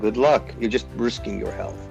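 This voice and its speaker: A man's voice: lowish voice, normal speed, creaky, darkish tone, faint music behind, low-quality recording. A man in his forties from Iraq, a pro bodybuilder guest in a podcast interview, talking animatedly with lots of fillers and restarts. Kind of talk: casual interview talk.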